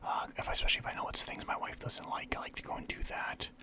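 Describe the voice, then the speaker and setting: A man's voice: fast talker, sharp tone. A young man from Canada whispering through a casual, rambling list of favorites as a vlogger. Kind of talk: whispered ASMR list chat.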